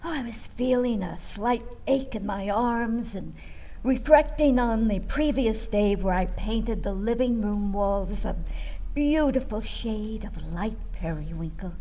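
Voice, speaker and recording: A woman playing a chatty, folksy storyteller, telling a homespun first-person tale in an expressive theatrical way. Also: mid-range voice, a little slow. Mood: folksy, cheerful. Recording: long hall-like reverb.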